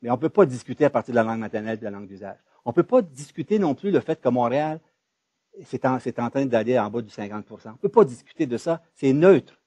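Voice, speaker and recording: A man from Ontario, moderating an academic discussion in an emphatic, animated way. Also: mid-range voice, quick pace, no room sound, muffled recording. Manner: emphatic, animated, rising loud on key points.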